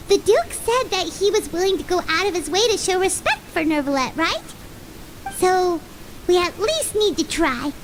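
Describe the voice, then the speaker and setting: A woman voicing a small, excitable, chatty fairy companion in a video game, with a squeaky childlike voice and an expressive manner. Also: heavy background noise, exaggerated voice. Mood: worried, earnest. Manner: expressive, refers to self in third person. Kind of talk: animated video game voice acting. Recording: game audio under loud music. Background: loud game music.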